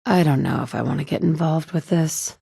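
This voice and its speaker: A woman's voice: sits low, fairly quick, creaky, warm tone, husky, no echo, exaggerated voice. An actress voicing a blunt, sarcastic woman in a game, a protective mother with a dry, no-nonsense manner. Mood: wry. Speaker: player character. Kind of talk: video game dialogue.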